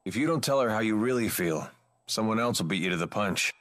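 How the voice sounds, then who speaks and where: A man's voice: on the low side, expressive, a little fast, fairly bright tone, no room sound. An actor playing a cynical, worldly, guarded man in a video game, with a dry, sardonic manner. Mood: teasing, world-weary. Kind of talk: English game voice acting.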